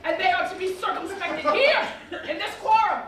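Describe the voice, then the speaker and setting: Woman as shrill, pompous Roman official, far off. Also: moderate pace, fairly bright tone. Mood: haughty, suspicious. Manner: pompous, grandiloquent, declaiming. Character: pompous Roman official, bombastic, word-mangling, fawning on the emperor. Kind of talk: staged reading, theatrical declamation. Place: echoey performance space, staged reading. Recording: distant mic, echoey hall, noisy.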